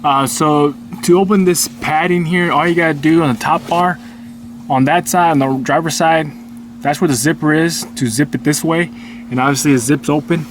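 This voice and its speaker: A man hosting a DIY video in casual, off-the-cuff speech, haltingly repeating and restarting phrases as he explains. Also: fairly high pitch. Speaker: DIY vlog host. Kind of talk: casual off-the-cuff how-to talk. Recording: roomy, distant mic, clear hum noise.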